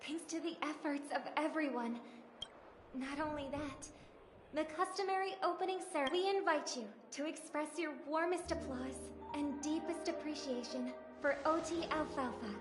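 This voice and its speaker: An actress playing a gracious young star singer giving a formal, measured ceremonial speech in a video game. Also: female voice, sits high, natural voice. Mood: poised, gracious. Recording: echoey game audio with music behind.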